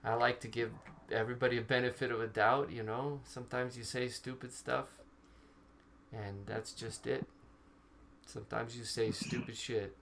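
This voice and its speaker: A man, chatting loosely and restarting his sentences as he goes. He talks at a middling pitch through a headset on a video call. A steady hiss of noise sits under his voice. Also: moderate pace, gravelly. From Serbia. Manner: talkative, stops and restarts often. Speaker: co-host. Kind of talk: casual chat. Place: small room indoors. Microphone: over-ear headset on a video call. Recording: noisy video call audio.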